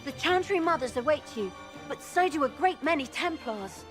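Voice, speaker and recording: Young scout girl reporting to her superior, fantasy game, high voice, respectful, music behind. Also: lively intonation, moderate pace. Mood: dutiful, uneasy. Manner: respectful, informative. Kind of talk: fantasy game cutscene dialogue.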